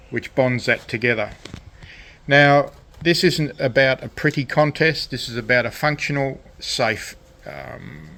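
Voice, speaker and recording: A man giving an unscripted hands-on demonstration of a DIY electronics project, with a halting, rambling delivery. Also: medium pitch, gravelly, a bit bright. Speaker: DIY electronics hobbyist presenting his project. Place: indoor radio shack workbench.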